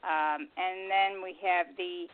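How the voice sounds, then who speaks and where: A woman chatting and announcing upcoming programs in a halting, chatty way as a radio co-host. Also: on the low side, fairly even intonation. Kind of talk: casual radio chat and show announcements. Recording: tinny phone line, faint music behind.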